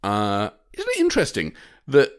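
A man with a fairly low voice co-hosts a casual podcast. He is playful and teasing, and his pitch moves around a lot as he jokes. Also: huge pitch swings, normal speed, clear, resonant tone. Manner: playful, sings a jingle, teasing. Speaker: podcast co-host.

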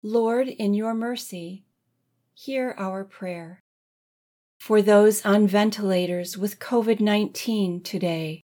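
A woman leading a congregation in prayer with gentle, deliberate phrasing. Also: medium pitch. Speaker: music director leading prayer. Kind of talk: led liturgical prayer.

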